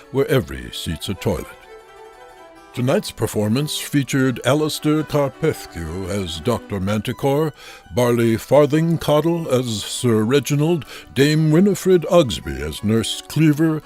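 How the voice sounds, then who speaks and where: A man's voice: mid-range voice, darkish tone. A man narrating a scripted comic mock radio broadcast as its host, theatrical, mock-pompous and emphatic.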